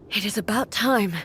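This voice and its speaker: A woman's voice: medium pitch, pushed and bright, a little hiss or hum, heavily acted. A woman playing a solemn, commanding warrior goddess in a video game, speaking in a formal, stately way. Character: solemn, imperious warrior goddess ruling a land. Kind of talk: dramatic video game voice acting. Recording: game music behind.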